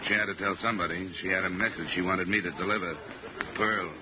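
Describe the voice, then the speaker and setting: An American actor playing a cool, hardboiled insurance investigator in a 1950s radio drama, terse and dryly wisecracking. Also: male voice, deep voice, steady tone, fast, close to an everyday voice. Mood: matter-of-fact. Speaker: lead actor and first-person narrator. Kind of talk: 1950s radio detective acting. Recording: muffled, noisy old radio recording.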